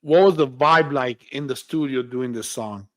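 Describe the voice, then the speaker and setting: A man's voice: mid-range voice, moderate pace. A man hosting a casual interview over a video call, asking short, friendly questions. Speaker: podcast host.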